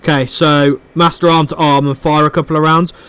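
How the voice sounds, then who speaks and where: A man's voice: a little high, little pitch movement, a little fast, fairly bright tone, clean tone. A man on a headset, casually teaching flight-sim controls step by step, his throat getting sore. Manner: informal, explains controls step by step, says mate. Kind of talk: casual gaming chat.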